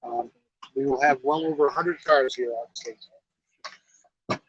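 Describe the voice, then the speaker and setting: A middle-aged man talks in an easygoing, folksy way at an outdoor car show. His voice is husky and a little breathy. The sound is dry and dull, like a lo-fi live stream. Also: mid-range voice, steady tone, slow, fairly bright tone, dry recording. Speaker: car club president and garage owner. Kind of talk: casual on-site interview.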